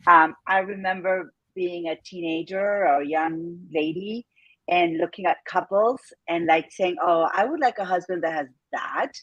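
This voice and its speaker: A woman's voice: fairly low pitch, moderate pace, fairly bright tone, dry recording, lo-fi sound. A woman in her fifties from Argentina with an Argentine Spanish accent, a business owner speaking candidly and reflectively as an interview guest, with frequent fillers and laughs. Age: 50s. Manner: reflective, frank, laughs often, many fillers.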